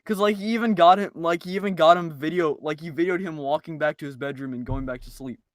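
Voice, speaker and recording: A man's voice: a little high, fast, no echo. A man chatting casually on a podcast, rambling and repeating himself as he tells anecdotes. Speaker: podcast co-host. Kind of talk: casual chat.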